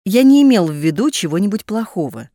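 Woman reading phrases with lively intonation. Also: mid-range voice, a little fast, no room sound, studio quality. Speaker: phrasebook reader.

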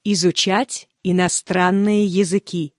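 A speaker reading short phrasebook sentences slowly and clearly for language learners. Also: mid-range voice, fairly even intonation, no echo, clean studio sound. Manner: slow, clearly spaced repetitions. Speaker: phrasebook reader.

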